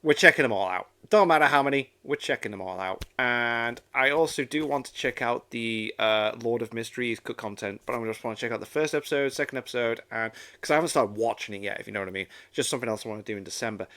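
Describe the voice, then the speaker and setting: A man's voice: medium pitch, fast, hardly any pauses, bright, forceful tone. A man hosting a reaction video in casual chat, enthusiastic and rambling, often repeating himself.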